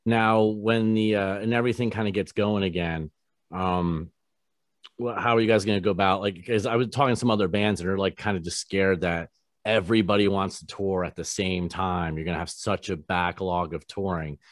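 Low-voiced radio host asking halting questions. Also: male voice, steady tone, no room sound, studio quality. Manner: halting, filler-heavy questions. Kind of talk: casual interview questions. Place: home studio, posters on wall. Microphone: large studio microphone, webcam.

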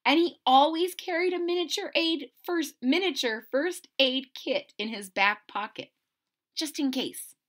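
A middle-aged woman reading a picture book aloud to children, expressive and deliberate, pausing to question her listeners. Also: high voice. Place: small indoor room at home.

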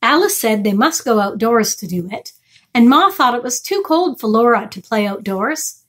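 Woman, middle-aged, slightly high voice, storytime read-aloud, children's chapter book. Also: lively intonation, normal speed, fairly bright tone. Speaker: storytime reader. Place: small furnished room indoors.

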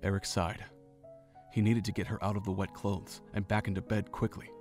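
A man reads the story's narration in a somewhat low, measured voice. His delivery stays even and steady. Soft music plays faintly underneath.

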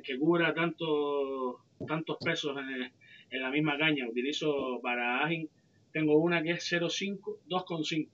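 A man's voice: on the high side, fairly bright tone. A man co-hosting a fishing livestream, chatting casually over a video call and answering viewers' questions. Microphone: headset on a video call. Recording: dull lo-fi call audio.

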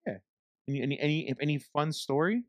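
A man's voice: on the high side, fast talker, no echo, studio quality. A man chatting casually as a guest on a livestream. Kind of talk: casual chat.

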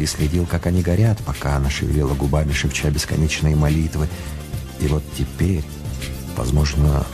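A man acting as the storyteller of a radio play, narrating in a measured, reflective and literary manner. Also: a bass voice, gravelly. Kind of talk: scripted narration for a radio drama. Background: music.

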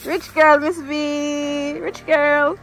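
A woman's voice: high voice, level tone, very slow, fairly bright tone, soft background music. A young woman, chatting casually outdoors as she vlogs around her farm. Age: young adult. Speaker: vlogger showing her farm. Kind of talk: casual outdoor vlog chat. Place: outdoors in a grassy goat pasture. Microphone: handheld phone camera.

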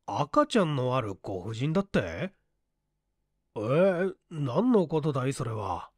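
Man playing a casual office chief, lively intonation. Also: mid-range voice, measured pace, husky, dry recording, clean studio sound. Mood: puzzled. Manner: familiar, questioning. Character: casual, puzzled male office section chief.

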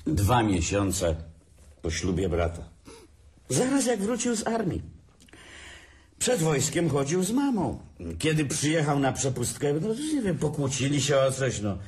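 A man's voice: a little high, huge pitch swings, measured pace, a little mellow. A man playing a bitter, sneering drunk brother. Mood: taunting. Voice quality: sneering, laughs often. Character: bitter drunk older brother, mocking and cruel. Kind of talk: radio drama acting.

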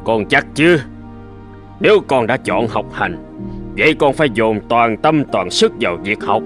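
A man playing a stern but fair middle-aged father in a cartoon, speaking in a measured, earnest, admonishing way. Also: mid-range voice, lively intonation, lightly acted. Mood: firm, advising. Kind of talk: animated moral tale dubbing. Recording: music behind the voice.

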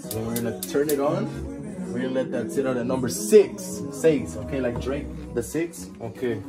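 A man's voice: medium pitch, normal speed, loud music behind the voice. A young man cooking in a small home kitchen, joking in profane slang and slipping Spanish words into his English. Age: young adult. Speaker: home cook showing a recipe. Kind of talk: joking cooking show banter.

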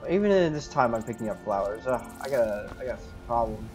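A man's voice: mid-range voice, expressive, quick pace, darkish tone, faint music behind. A man giving in-character commentary as he plays a fantasy video game. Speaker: gamer roleplaying his character.

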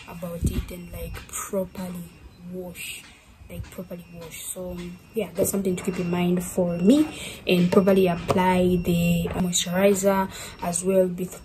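A young woman from Zambia chats casually to her camera in a bedroom, her voice sitting a little low. She rambles along with constant "like" fillers. A steady hiss runs under the recording. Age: young adult. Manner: chatty, rambling, many fillers like "like". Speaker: vlogger. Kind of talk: casual vlog talk to camera. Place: bedroom, indoors.

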